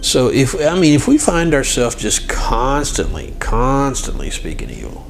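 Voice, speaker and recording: A man's voice: medium pitch, huge pitch swings, measured pace, gravelly, faint music behind. A man giving an informal devotional lesson as host, speaking directly to the listener and repeating phrases for emphasis. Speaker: host and religious teacher. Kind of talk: informal devotional teaching to camera or mic.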